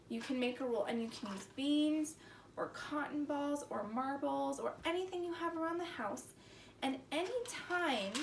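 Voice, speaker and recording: A woman hosting a story time for young children, talking in simple, animated, exclaiming phrases. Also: high voice. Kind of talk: expressive read-aloud to preschool children. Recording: roomy echo, distant mic, background noise.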